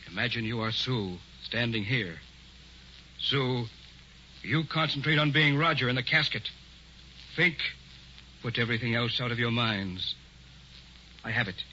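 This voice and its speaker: Radio play villain: escaped mad doctor, cold, commanding, forceful edge, faintly noisy vintage sound. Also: male voice, medium pitch, measured pace, pauses often. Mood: commanding, intent. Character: mad escaped doctor posing as professor, coldly menacing. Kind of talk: old-time radio drama acting. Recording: dull, hissy old radio recording.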